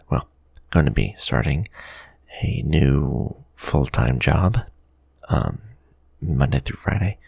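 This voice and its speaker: A man giving a casual update as a video creator, speaking haltingly into a close microphone. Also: extremely low pitch, gravelly, husky, no room sound.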